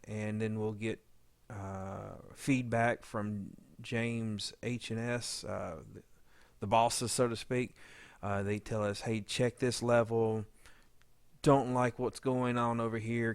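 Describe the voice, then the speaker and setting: A man giving an informal editing tutorial, talking haltingly as he thinks aloud while working. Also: lowish voice, dry recording. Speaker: audio drama editor giving a tutorial. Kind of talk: informal software walkthrough.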